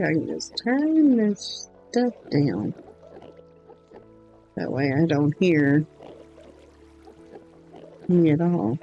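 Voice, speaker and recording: A man streaming a game in casual chat, hoarse with his voice going in and out, rambling slowly with many pauses and ums. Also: fairly high pitch, mellow.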